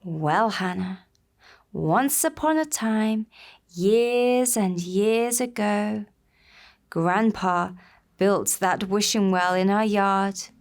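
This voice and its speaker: A woman voicing a kindly grandmother who gently reminisces to her granddaughter in a storybook tale. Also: mid-range voice, extremely slow. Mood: tender, reminiscing.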